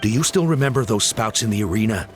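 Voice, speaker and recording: An actor playing a grave, thoughtful older tribe chief in a video game, with a deliberate, authoritative delivery. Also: male voice, fairly low pitch, lively intonation, soft background music, natural voice. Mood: deliberate, encouraging.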